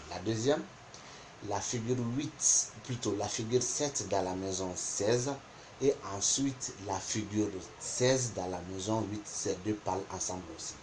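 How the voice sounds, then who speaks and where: Low-voiced man teaching in careful French. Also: darkish tone, a little hiss or hum, low-quality recording. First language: not French. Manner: deliberate, repeats points for emphasis. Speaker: geomancy teacher. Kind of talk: spoken lesson explaining interpretations.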